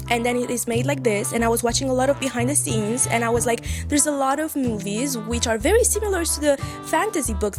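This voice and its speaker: A woman in her twenties from Eastern Europe, who speaks English as a second language, chatting casually and rambling a little as a book vlogger at home. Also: fairly high pitch. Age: 20s. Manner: chatty, rambling, self-deprecating. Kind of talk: casual chat to camera. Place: home room with bookshelves.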